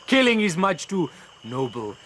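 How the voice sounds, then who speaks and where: Cold, menacing captor, crickets behind. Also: male voice, sits high, very animated, measured pace, fairly bright tone, a little hiss or hum, heavily acted. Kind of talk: radio drama acting.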